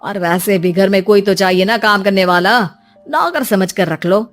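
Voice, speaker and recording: A woman's voice: medium pitch, normal speed, faint music behind, close to an everyday voice. A woman voicing a callous grown son in a cartoon story, with a harsh, accusing manner.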